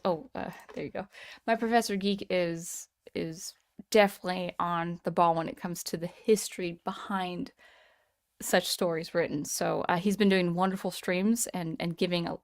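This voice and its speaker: Chatty woman hosting a live stream. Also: medium pitch. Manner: chatty, thanks viewers by name, frequent restarts. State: voice slightly strained. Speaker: livestream host. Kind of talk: casual live-chat streaming.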